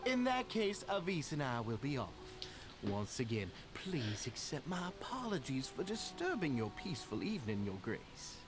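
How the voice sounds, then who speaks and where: A man's voice: fairly high pitch, wildly swooping pitch, normal speed, music in the background. A man voicing a suave, slippery game villain who speaks with ornate, condescending politeness and an undertone of menace. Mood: restrained irritation. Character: suave male society enforcer, falsely courteous, slippery and menacing. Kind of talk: scripted game voice acting.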